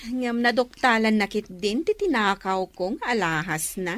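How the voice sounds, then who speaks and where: A woman's voice: mid-range voice, lively intonation, measured pace, a bit bright, no room sound. A woman playing a brash, conniving friend who eggs on a scheme in an Ilocano radio drama. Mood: scheming, urging. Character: conniving female accomplice, brash and egging on.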